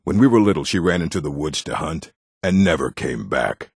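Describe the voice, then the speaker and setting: An actor, a man, playing a surly, domineering orc with a gravelly, raspy voice and brusque delivery in a video game. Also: extremely low pitch, animated, fairly quick, no echo, studio quality, full character voice. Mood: gloomy, proud. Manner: curt, brusque. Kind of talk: video game character acting.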